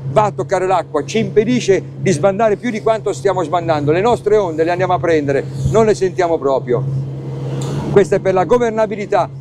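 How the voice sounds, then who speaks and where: A man's voice: high voice, fairly quick, noisy background. A middle-aged man presenting a boat test at sea, talking casually and enthusiastically straight to the viewers. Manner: chatty, enthusiastic, addresses viewers directly. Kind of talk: casual on-board boat review. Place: open motorboat at sea, outdoors.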